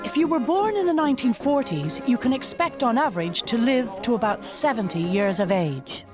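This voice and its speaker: A woman presenting a documentary, narrating in a clear, measured, guiding way. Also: on the high side, animated. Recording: music bed under narration.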